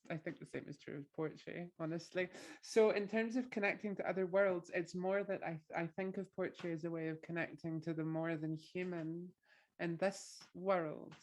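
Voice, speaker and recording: A woman poet with an Orkney accent, talking thoughtfully with many pauses and restarts before reading her verse. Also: sits low, darkish tone. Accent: Orkney Scots. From Orkney, Scotland. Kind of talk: poetry reading with introduction.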